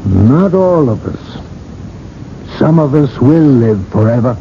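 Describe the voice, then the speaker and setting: An actor in a radio drama playing an earnest friend who consoles gently and with emphasis. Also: male voice, mid-range voice, expressive, takes it slowly. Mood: earnest assurance. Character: earnest, consoling male friend of dying tycoon. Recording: dull, slightly noisy old radio broadcast.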